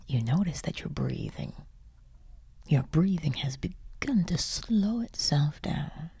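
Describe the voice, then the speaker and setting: A woman guiding a sleep meditation, speaking gently and soothingly in a breathy, creaky half-whisper with lingering pauses. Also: low voice, animated, dry recording. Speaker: meditation guide. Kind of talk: guided sleep meditation, soft instruction.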